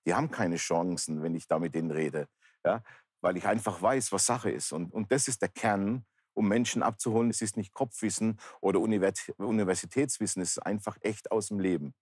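Male interview guest, fifties, very low register, earnest plain-spoken testimony, dry sound. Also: brisk, darkish tone, husky, no echo. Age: 50s. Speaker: talk show guest, pastor. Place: large indoor bar hall, seated interview.

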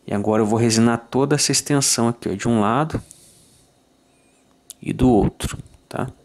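A Brazilian man calmly walking through a fly tying tutorial, checking in with listeners after each step. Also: mid-range voice, moderate pace. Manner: calm, methodical, ends phrases with "tá?".

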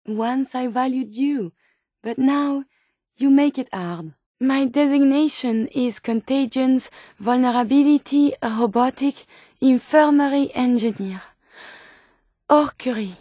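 An earnest, sensitive female robot scientist from a video game, with a French accent and a high voice. She speaks in formal, precise phrases, polite and curious. The recording is dry and close, with no room sound. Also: takes it slowly, mellow, dry recording. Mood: disappointed, reproachful. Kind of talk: video game character dialogue.

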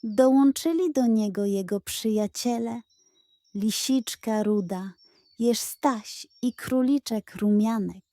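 A woman narrating a scripted children's storybook in a gentle, expressive way. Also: fairly high pitch, slow, dry recording. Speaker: storyteller of children's bedtime tales.

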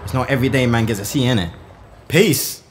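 A man playing a cheeky, excitable teenage schoolboy in a motion comic, with a London accent and slangy, animated delivery. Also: medium pitch, fairly quick, slight background noise, lightly acted. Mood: chatty, self-deprecating. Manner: animated, colloquial. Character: excitable, cheeky Nigerian-born London schoolboy in his teens.

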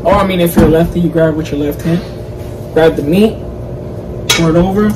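A man's voice: fairly high pitch, a little fast, background noise. A young man in his twenties giving laid-back cooking tips in a casual home-kitchen chat. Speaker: cooking vlog co-host. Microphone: camera on the counter at a distance. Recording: distant camera mic, kitchen clatter.

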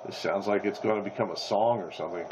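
A man's voice: lowish voice, fast. A middle-aged American man in casual chat over a CB radio. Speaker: CB radio operator chatting on air. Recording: dull CB radio audio, loud static.

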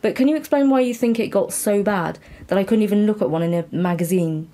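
A woman's voice: mid-range voice, fast, dark tone. A woman interviewer talking conversationally, candid and reflective as she asks questions and shares her own thoughts.

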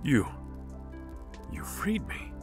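An actor playing a dazed, exhausted man freed from captivity, speaking in a halting, confused way in an audio drama. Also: faint music behind.

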